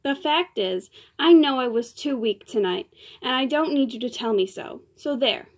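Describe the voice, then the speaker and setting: A woman doing a fiery young actress, higher. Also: expressive. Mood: tearful, angry. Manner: tearful, pleading, quick to outbursts. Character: temperamental young female stage actress.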